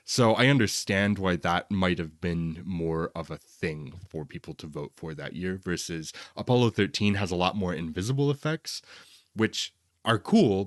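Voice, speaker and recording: A man with a low voice in a casual review conversation, recorded close and dry. He talks as a podcast co-host, informal and analytical, working through his points as he goes. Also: dry recording. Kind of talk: casual film review chat.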